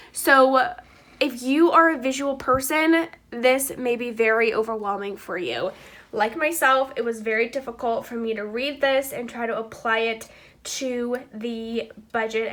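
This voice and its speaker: A woman's voice: a little high, fairly bright tone. A woman in her twenties, stuffy from a cold, hosting a chatty, upbeat budgeting walkthrough for her viewers. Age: 20s. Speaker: channel host.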